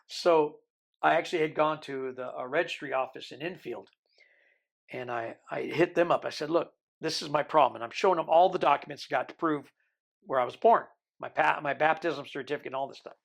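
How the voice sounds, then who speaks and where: Man, 60s, gravelly, slightly high voice, Americanized Birmingham accent, rambling joker. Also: fairly quick. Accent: American-tinged Birmingham English. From Isle of Man. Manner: rambling, joking, self-deprecating. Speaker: interview guest. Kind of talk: storytelling interview.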